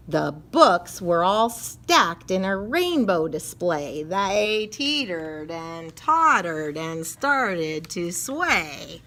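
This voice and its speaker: A woman reading a rhyming picture book to children with expressive, dramatic, measured storytelling. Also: medium pitch.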